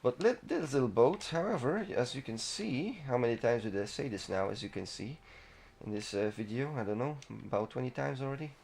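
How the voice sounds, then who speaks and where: Male gaming host, mid-pitched, unscripted and rambling walkthrough commentary, casual delivery. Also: lively intonation, brisk, creaky, a little mellow, slightly rough.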